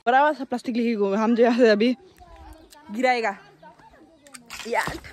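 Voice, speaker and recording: A young woman from Arunachal Pradesh, chatting excitedly to her phone camera while vlogging around a hill village. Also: fairly high pitch, moderate pace. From Arunachal Pradesh, India. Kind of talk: casual vlog narration.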